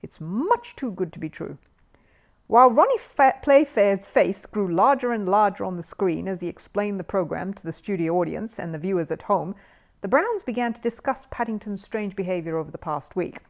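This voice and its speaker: A woman reading a children's story aloud as an expressive audiobook narrator. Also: medium pitch, a little fast.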